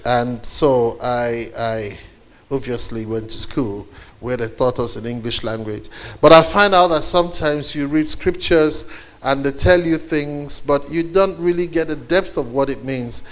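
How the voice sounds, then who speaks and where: A man with a Nigerian accent, a native Igbo speaker, preaching a sermon to a congregation as a guest, deliberately, with pauses and repeated phrases for emphasis. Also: medium pitch. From eastern Nigeria.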